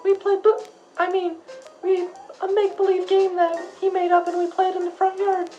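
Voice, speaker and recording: A woman playing a very high-voiced, blunt little girl. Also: level tone, a little fast, mellow, dull audio, faint music behind. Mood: earnest, chatty. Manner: blunt, childlike, argumentative. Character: blunt, indignant nine-year-old Southern tomboy girl. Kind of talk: acted radio interview for a school project.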